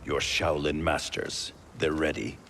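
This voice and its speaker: A man playing a stern, distrustful warrior leader in a video game cutscene, speaking gravely and formally with a challenging edge. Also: a bass voice, little pitch movement, a little slow, very noisy, heavily acted. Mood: grim resolve.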